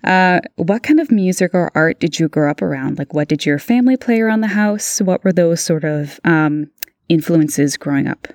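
A woman's voice: on the low side, lively intonation, moderate pace, darkish tone, dry recording, studio quality. A young woman from Ontario hosting a casual podcast interview, friendly and chatty with lots of fillers. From Ontario, Canada. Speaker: podcast host.

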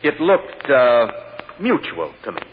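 Easygoing folksy witness, slangy, animated, tinny vintage radio recording. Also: male voice, mid-range voice, takes it slowly, in a large hall, a little hiss or hum. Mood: cheerfully casual. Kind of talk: 1940s-50s radio drama acting.

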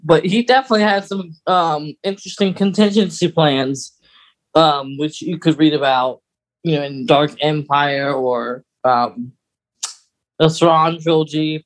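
A man with a fairly high-pitched voice co-hosts a podcast. He talks casually, like a fan explaining fine details, and often halts as he works through them. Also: poor audio. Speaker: podcast co-host.

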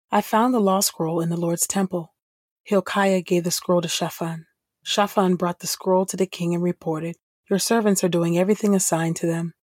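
A woman reading scripture from a script as a podcast host, in a measured, formal manner. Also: fairly low pitch, darkish tone, slightly rough, no room sound, clean studio sound. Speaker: Bible reader and podcast host.

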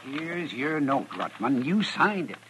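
An actor playing a brisk, firm frontier banker in a 1950s Western radio drama, emphatic and businesslike, on an old radio recording. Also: male voice, medium pitch, big pitch swings, fairly bright tone, slight background noise, rough recording. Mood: indignant.

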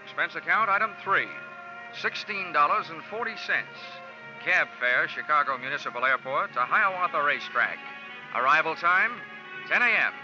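An actor playing a cool, wisecracking insurance investigator in clipped 1940s hardboiled radio style. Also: male voice, on the high side, lively intonation, moderate pace, lightly acted. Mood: dry narration. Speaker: lead character and narrator. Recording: dull 1940s radio recording, music behind.

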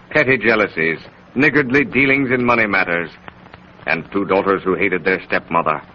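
A man's voice: lowish voice, lo-fi sound. A man narrating a 1930s radio mystery in a solemn, dramatic manner, on a scratchy old recording.

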